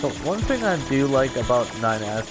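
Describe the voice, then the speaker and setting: A man's voice: medium pitch, sing-song, normal speed, poor audio. A man giving casual game commentary, explaining things in a rambling, hesitant way. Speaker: let's player. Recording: game music behind the voice. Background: video game music and sounds.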